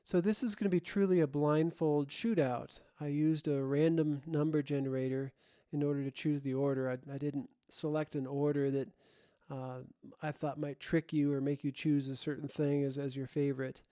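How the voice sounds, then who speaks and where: Clear, higher-voiced man explaining music gear. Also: level tone, normal speed, mellow. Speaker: presenter of a music gear comparison. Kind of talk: casual explanatory demo talk.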